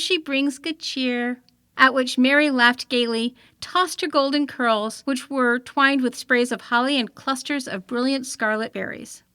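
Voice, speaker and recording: A woman reading an old-fashioned children's story aloud in an expressive storytelling manner. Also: sits high, no echo, studio quality. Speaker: storybook reader.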